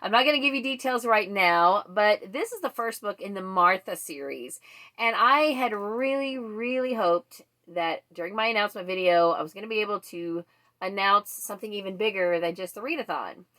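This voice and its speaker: A woman's voice: medium pitch, expressive, moderate pace, bright, forceful tone. Chatty, rambling woman book vlogger. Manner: rambling, goes off on tangents. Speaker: book vlog host. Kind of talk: casual, chatty book chat to viewers.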